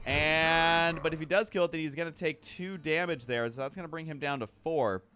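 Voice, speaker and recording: A man's voice: fairly high pitch, moderate pace, bright, forceful tone, clean tone. A man hosting a gaming video with casual, off-the-cuff commentary, rambling a little as he thinks aloud.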